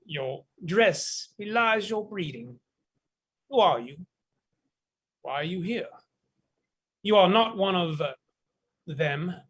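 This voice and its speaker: A man plays a coldly haughty captain in a stage drama. His voice is high for a man and measured, each clipped, formal phrase carrying threat and disdain. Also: lively intonation, fairly bright tone, breathy edge, heavily acted. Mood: cold, threatening. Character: menacing, haughty captain, coolly interrogating. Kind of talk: theatrical stage play reading.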